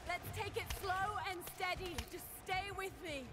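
A woman's voice: very high voice, steady tone, moderate pace. A woman playing a determined young mountain climber in a video game. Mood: focused. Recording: loud music over game audio. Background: loud game music.

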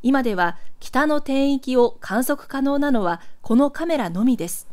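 High-pitched woman narrating an archive newsreel. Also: animated, measured pace, a little mellow. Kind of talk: scripted documentary narration.

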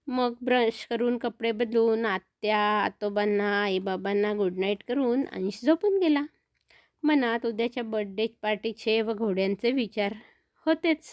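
A woman's voice: on the high side, a little mellow, dry recording, clean studio sound. A woman storyteller narrating for children, explaining things simply and speaking directly to young listeners.

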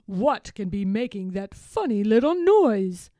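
Woman as storybook elephant, courteous, earnest, hopeful, emphatic, mellow, animated intonation. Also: mid-range voice, unhurried, dry recording. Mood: curious. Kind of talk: children's storybook character voice.

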